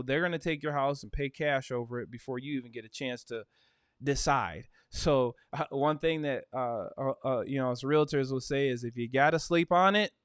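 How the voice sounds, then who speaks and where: A man's voice: medium pitch, clear, resonant tone, dry recording, clean studio sound. A man, a realtor chatting casually and upbeat on a livestream, with plenty of "uh" and "you know". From Michigan. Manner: chatty, upbeat, with frequent fillers.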